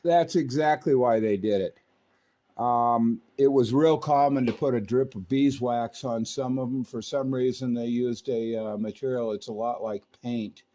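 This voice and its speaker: Chatty folksy guy, mellow voice, explaining tech stuff casually like a hobby repair host. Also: medium pitch, unhurried, slight background noise. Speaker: radio repairer and video host. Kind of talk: casual technical talk and product commentary.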